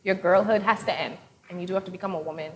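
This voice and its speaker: A young woman co-hosting a podcast, offering measured, thoughtful reflections in casual chat. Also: on the low side, fairly even intonation, slight background noise. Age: young adult. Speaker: podcast co-host.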